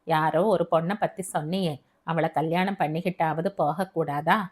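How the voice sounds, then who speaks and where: A woman's voice: low-pitched, steady tone, moderate pace, voice pushed down. A woman voicing a worried older mother in an audio story, pleading with concern to her grown son.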